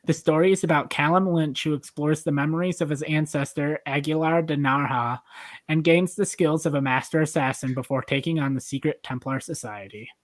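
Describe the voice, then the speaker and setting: A man's voice: a little high, a little fast. Guy hosting a podcast, explaining stuff casually, chatty judge type.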